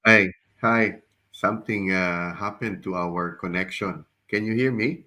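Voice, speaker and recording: A man with a very deep, slightly raspy voice, recovering from the flu, hosts a podcast over a video call. He speaks in a casual, hesitant way, often stopping and repeating his words. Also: a little slow, pushed and bright. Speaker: podcast host. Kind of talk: casual online interview.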